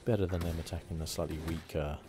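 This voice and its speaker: A soft-spoken man giving relaxed, unhurried and slightly halting ASMR commentary on a video game. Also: low voice, background noise. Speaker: gaming channel host. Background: game music.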